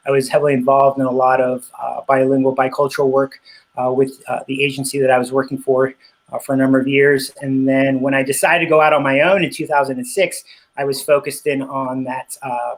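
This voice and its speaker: A middle-aged man in a casual interview, talking about his work with frequent pauses, fillers and restarts; he grew up speaking Spanish. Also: medium pitch, little pitch movement, poor audio. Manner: hesitant, many fillers and restarts. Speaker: interview guest, cycling business owner.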